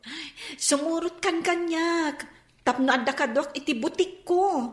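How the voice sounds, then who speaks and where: An actress playing a kindly, persuasive young shop-owning cousin in a radio drama. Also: female voice, very high-pitched, a little slow. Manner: coaxing, pleading. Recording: echoey room sound.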